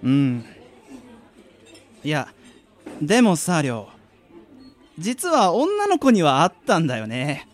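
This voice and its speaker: A man's voice: fairly high pitch, huge pitch swings, takes it slowly, long pauses, heavily acted. A man playing a mild, modest young working man in a radio drama, in a hesitant, polite way. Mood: casual, modest. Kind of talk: amateur radio drama acting and narration.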